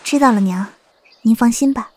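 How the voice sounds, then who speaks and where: A woman playing a dutiful, affectionate young wife in a Chinese period audio drama, speaking in a gentle, respectful way. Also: a little high, normal speed, husky. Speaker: voice actor in an amateur audio drama.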